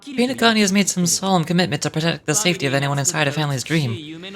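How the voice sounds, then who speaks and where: Woman doing a low, nonchalant young schemer man. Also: a crowd in the background, lightly acted. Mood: cool, wry. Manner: unhurried, self-assured, wry.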